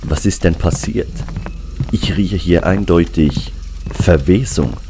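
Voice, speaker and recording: An actor playing a grave, matter-of-fact small-town doctor in a German horror radio play, measured and clinical. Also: male voice, low voice, background noise. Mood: grave, puzzled. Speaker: actor in an audio drama.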